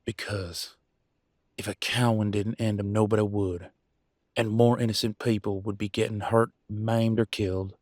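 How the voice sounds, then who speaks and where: Man acting a low-voiced, defiant werewolf alpha. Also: steady tone, darkish tone, no room sound, studio quality, natural voice. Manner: emphatic, colloquial, with dramatic pauses and swearing. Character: Damien, conflicted male werewolf pack alpha, defiant and remorseful. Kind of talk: scripted audio roleplay, one side of an argument.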